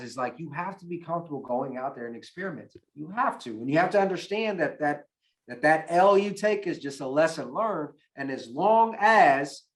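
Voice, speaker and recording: Loud swearing podcast cohost guy, kinda high voice, super animated. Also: low-quality recording. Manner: animated, swearing, self-mocking. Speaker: podcast co-host. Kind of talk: casual podcast chat.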